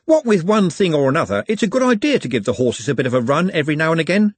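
Man playing a cheeky, high-pitched cart driver. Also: very animated, fast, husky, no echo. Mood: cheeky, blunt. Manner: brash colloquial banter.

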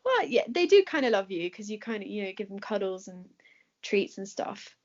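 A woman's voice: mid-range voice, very animated, normal speed, darkish tone. A woman with an English accent answering questions in a casual chat, talking with plenty of fillers and laughing easily. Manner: chatty, laughs often, many fillers. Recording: dull lo-fi recording.

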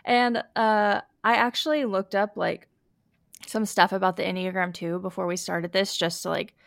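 A woman's voice: mid-range voice, fairly even intonation, moderate pace, vocal fry, dry recording, studio quality. A young adult woman hosting a casual podcast chat, her voice scratchy and a bit sniffly from allergies, with lots of fillers. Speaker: podcast host.

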